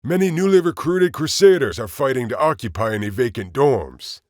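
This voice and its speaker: A man plays a genial guild officer in a fantasy audio drama. He speaks formally and patronizingly to his juniors, with an animated rise and fall, recorded very dry. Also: mid-range voice, moderate pace, dry recording, lightly acted. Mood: politely firm. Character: courteous, firm male guild officer collecting payments.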